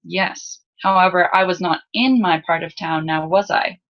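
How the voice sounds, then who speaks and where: A woman's voice: lowish voice, steady tone, moderate pace, fairly bright tone, dull audio. A woman voicing a guarded, well-bred young noble girl with formal, haughty diction in an audiobook story. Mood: prim, evasive.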